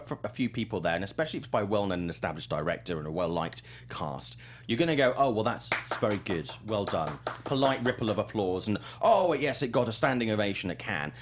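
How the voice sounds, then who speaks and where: Lively, low-voiced man hosting a chat show. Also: slight background noise. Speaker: host of a movie news talk show. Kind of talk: casual panel chat.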